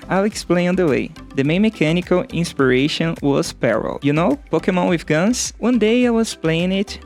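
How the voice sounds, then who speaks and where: A young man from Brazil, Portuguese native, with a Brazilian accent, narrating his game devlog in a playful, self-mocking way. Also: high-pitched. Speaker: solo game developer. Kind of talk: scripted devlog voice-over.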